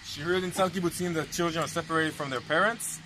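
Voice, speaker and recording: A man's voice: a little high, fairly even intonation, fast. A young man, a tour guide explaining to a seated group on a park lawn and asking them questions. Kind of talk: outdoor guided tour talk. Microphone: handheld camera held close by the filmer. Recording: outdoor noise, birds and passing vehicles.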